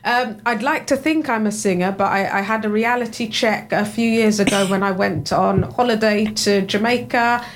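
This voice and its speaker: A woman's voice: medium pitch, normal speed, hardly any pauses. A woman guest, an executive coach, speaking thoughtfully in a casual interview, with restarts and frequent agreeing murmurs.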